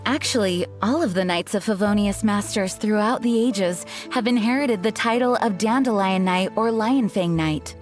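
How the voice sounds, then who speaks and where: A woman playing a noble, dutiful young knight commander in a video game, speaking formally with gentle composure. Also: mid-range voice, normal speed, few pauses, faint music behind, lightly acted. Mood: solemn, reverent.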